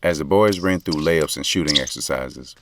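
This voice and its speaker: A man's voice: extremely low pitch, normal speed, slight background noise. A male actor with an American accent playing a lovestruck, regretful basketball coach who confides in listeners in slangy, conversational audio drama narration. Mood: wistful. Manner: slangy, confessional, intimate. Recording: music playing under the voice. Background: music.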